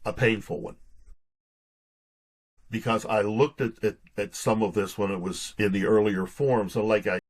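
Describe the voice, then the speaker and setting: A man with a fairly low voice talks as a guest in a casual interview. He speaks reflectively and haltingly, feeling his way through his thoughts. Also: steady tone. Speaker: guest author being interviewed.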